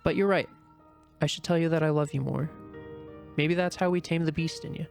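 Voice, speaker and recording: A man voicing a shy, earnest young female rabbit in an amateur dramatic reading, speaking softly and from the heart. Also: fairly high pitch, fairly even intonation, brisk, gravelly, soft background music. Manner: soft, heartfelt, anxious inner murmuring. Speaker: voice actor in a fan reading.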